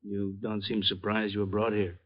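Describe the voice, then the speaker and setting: A man playing a smooth, condescending and coolly threatening racketeer boss in a 1950s radio crime drama. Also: on the low side, level tone, moderate pace, close to an everyday voice. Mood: coolly probing. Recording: muffled vintage radio recording.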